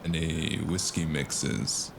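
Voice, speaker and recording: A man voicing a very deep, gravelly noir antihero. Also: fairly even intonation, noisy background, full character voice. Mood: wry, weary. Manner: brooding, sardonic, measured delivery. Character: world-weary noir man, smoker haunted by his deaths. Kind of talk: noir audio drama with first-person narration. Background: loud cinematic music.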